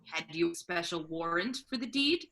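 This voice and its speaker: A woman playing a stern, authoritative male duke in a measured, formal Shakespearean stage reading. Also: lowish voice, expressive, sharp tone. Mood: cool, authoritative.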